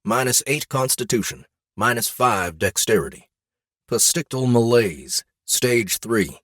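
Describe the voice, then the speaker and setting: A man narrating a scripted fantasy audiobook in an expressive, deliberate storytelling manner. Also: mid-range voice, dry recording, clean studio sound. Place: dry studio.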